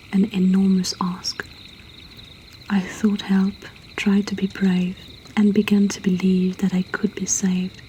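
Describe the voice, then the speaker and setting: A woman reading poetry and stories in a breathy whisper, in a soothing, hushed ASMR style. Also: mid-range voice, a little hiss or hum. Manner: slow, soothing, hushed delivery. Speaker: poetry reader and host.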